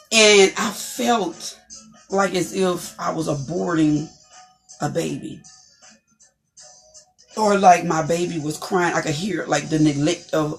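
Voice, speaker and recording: Deep-voiced woman giving an inspirational talk. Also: vocal fry, a bit bright. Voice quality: deep, distinctive voice. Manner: preachy, encouraging, repeats phrases. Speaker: talk show host. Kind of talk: inspirational talk show chat.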